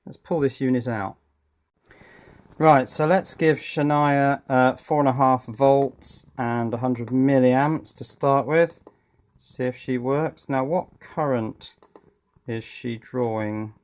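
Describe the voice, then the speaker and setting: A man's voice: mid-range voice, fairly even intonation, slow pace, darkish tone. A man giving unscripted teardown commentary as a hobbyist presenter, thinking aloud with dry, understated humour.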